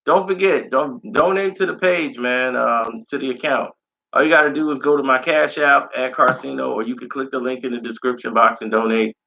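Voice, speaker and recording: A man with a raspy voice, a little hoarse as he recovers from being sick, giving loose, slangy sports opinions in a casual chat. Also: mid-range voice, steady tone, brisk, bright, forceful tone. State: recovering from illness, slightly hoarse. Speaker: sports commentary vlogger. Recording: dull lo-fi sound.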